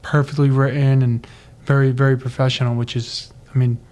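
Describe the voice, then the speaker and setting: A man's voice: mid-range voice, flat delivery, fairly quick, a little mellow. A man chatting casually as a radio guest, agreeable and often repeating short affirmations. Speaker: radio guest, mortgage loan officer. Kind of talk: casual chat.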